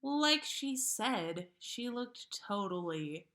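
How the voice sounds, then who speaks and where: A woman reading a fan fiction story aloud on a livestream, in a measured way with pauses. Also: medium pitch, sing-song, bright, forceful tone. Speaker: podcast host reading listener fan fiction.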